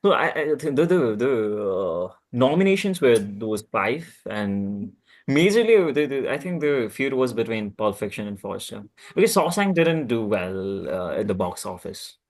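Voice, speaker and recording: A man chatting casually about films in a group, halting and often repeating his words. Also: medium pitch, very animated. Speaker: table read participant.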